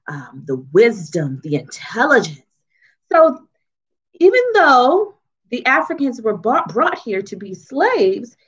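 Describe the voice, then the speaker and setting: A woman reads a picture book aloud to children as its author, in an expressive, teacherly way, pausing to explain and ask questions. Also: a little high, husky, lo-fi sound. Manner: teacherly, asks questions and checks in often.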